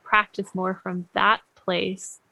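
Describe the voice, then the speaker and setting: Woman, gravelly voice, dry sound, reflective storytelling, casual chat. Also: medium pitch, flat delivery, slow, no echo, rough recording. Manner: thoughtful, reflective storytelling with soft laughs. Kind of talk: casual conversation.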